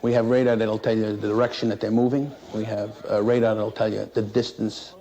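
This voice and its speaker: A man who works as an air traffic controller recounts his experience in an interview in a halting, plain-spoken, matter-of-fact way. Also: lowish voice, flat delivery, mellow. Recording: noisy 1960s interview recording.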